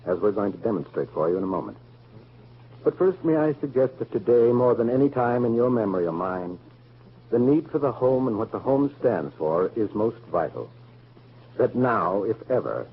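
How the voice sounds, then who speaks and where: A man's voice: medium pitch, breathy edge. An actor playing an earnest family man in a 1940s radio drama, making formal, emphatic speeches. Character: earnest, oratorical family man championing the American home. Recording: narrow, tinny old radio recording.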